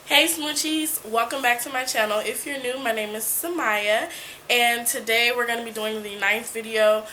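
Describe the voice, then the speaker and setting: Bright-voiced congested young woman vlogger. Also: on the high side, fairly even intonation, normal speed, slight background noise, rough recording. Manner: chatty, many fillers and restarts. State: congested from a cold. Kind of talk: casual story time. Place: small indoor room before a folding screen. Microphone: camera in front, seated at mid distance.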